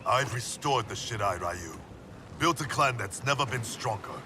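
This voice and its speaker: A man's voice: medium pitch, lively intonation, normal speed, background noise, natural voice. A man playing a stern, driven ninja clan warrior in a video game, speaking with solemn, formal intensity. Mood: defiant. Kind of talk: dramatic video game cutscene acting.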